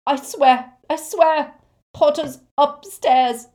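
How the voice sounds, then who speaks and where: Woman narrator doing a scared dad wizard, high wailing voice, begging and tearful, audiobook. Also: fairly even intonation, slow pace, a little mellow. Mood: sobbing, frantic. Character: desperate, sobbing, pleading father, a frightened wizard.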